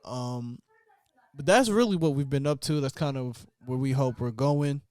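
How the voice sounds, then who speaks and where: A man's voice: mid-range voice, normal speed, a little mellow, clean tone, dry recording. A man in his twenties co-hosting a podcast, laid-back and slangy, talking straight to his listeners. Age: 20s. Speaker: podcast co-host. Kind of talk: casual chat.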